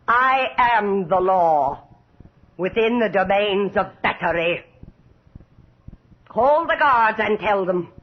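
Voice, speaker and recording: Haughty, power-mad noblewoman, husky and theatrically melodic, for vintage radio horror. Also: medium pitch, expressive. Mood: coldly imperious. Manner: haughty, theatrical, deliberate delivery. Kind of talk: radio horror drama acting. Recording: dull, muffled vintage radio recording.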